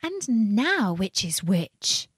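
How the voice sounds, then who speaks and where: A woman voicing a polite, bewildered little girl in a storybook, earnest and quick to protest. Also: mid-range voice, big pitch swings, slightly rough, no room sound, studio quality, exaggerated voice. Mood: puzzled. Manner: plaintive, earnest, hesitant. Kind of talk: storybook character reading.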